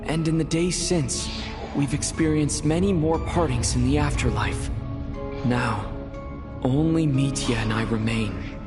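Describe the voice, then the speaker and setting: A man playing a young male traveler in a game, recounting a mystery in a measured, earnest, reflective way over music. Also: medium pitch, close to an everyday voice. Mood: somber, reflective. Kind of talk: scripted game narration, inner monologue.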